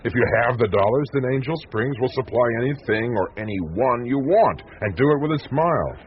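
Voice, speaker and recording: An actor playing a cynical, needling newspaper reporter in a 1980s radio drama, with a sardonic, pointed way of talking. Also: male voice, medium pitch, lively intonation, brisk, husky. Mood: indignant. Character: cynical, needling male American newspaper reporter, indignant crusader. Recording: muffled, noisy old radio broadcast recording.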